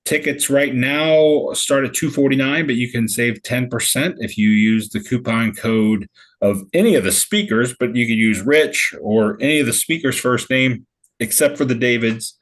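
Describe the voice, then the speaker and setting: A man's voice: medium pitch, normal speed. Chatty laughing St. man host. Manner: chatty, laughs often, loose rambling restarts. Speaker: meetup host. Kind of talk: casual group video call chat.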